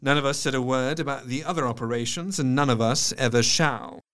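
An actor playing a sober, observant doctor who narrates his diary in a measured, reflective way in an audio drama. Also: medium pitch, a bit bright, clean tone, lightly acted. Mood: sombre reflection. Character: thoughtful, sober English doctor, loyal and observant.

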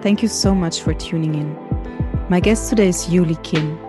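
A woman hosting a podcast, introducing her guest and asking questions in a measured way. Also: fairly low pitch, music in the background. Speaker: podcast host. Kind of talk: interview hosting.

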